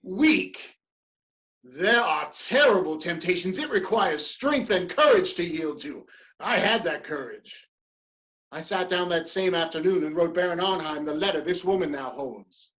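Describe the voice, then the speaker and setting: A woman's voice: on the low side, animated, a little slow, fairly bright tone. A woman playing a proud, ambitious male politician in a dramatic play reading, speaking with theatrical emphasis and defiance. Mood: defiant, proud.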